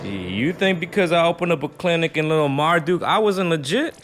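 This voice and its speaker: A man's voice: on the high side, lively intonation, a little fast, fairly bright tone. A man playing a proud, laid-back neighborhood doctor in an audio drama, bantering in slang with some indignation. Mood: indignant.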